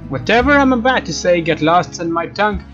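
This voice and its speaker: A man narrating a visual novel as a let's player, expressive and informal, with casual asides. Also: high-pitched, brisk. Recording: dull lo-fi sound, music behind. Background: game music with guitar.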